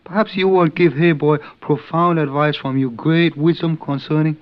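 An actor playing a meek, earnest hotel servant who speaks halting, deferential broken English in an old radio drama. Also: male voice, on the high side, steady tone, lightly acted. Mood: deferential, hopeful. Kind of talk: 1950s radio acting. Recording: muffled old radio recording.

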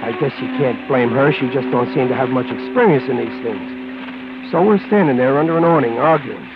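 A man's voice: medium pitch, moderate pace, close to an everyday voice. An actor playing an ordinary, nervous wisecracking guy in a 1940s radio crime drama, with an American accent and slangy, stammering delivery. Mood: wry, weary. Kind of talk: 1940s radio acting, hardboiled first-person narration. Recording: tinny old radio broadcast with loud music.

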